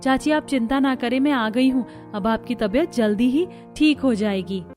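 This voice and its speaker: A woman's voice: a little high, moderate pace, darkish tone, soft background music, lightly acted. A woman voicing a caring, respectful young female cousin in a cartoon story, with a soothing, eager manner. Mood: worried, soothing. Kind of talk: Hindi cartoon story acting.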